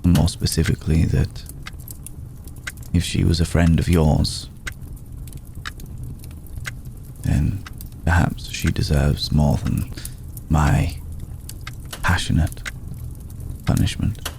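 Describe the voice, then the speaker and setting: Man playing a very deep, brooding vampire lord. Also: flat delivery, creaky, warm tone, slight background noise, exaggerated voice. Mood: menacing, reflective. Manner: measured, formal, deliberate with long pauses. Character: ancient, brooding, aristocratic male vampire, protective and vengeful. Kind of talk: ASMR roleplay, slow intimate monologue.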